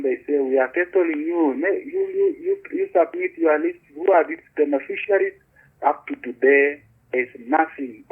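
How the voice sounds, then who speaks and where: A man with a Ugandan accent speaks earnestly over a call, often restarting and repeating words as he explains. His voice comes through husky and thin, the sound squeezed into a narrow, phone-like band. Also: medium pitch. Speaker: community beekeeper guest. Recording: husky tinny phone-line audio.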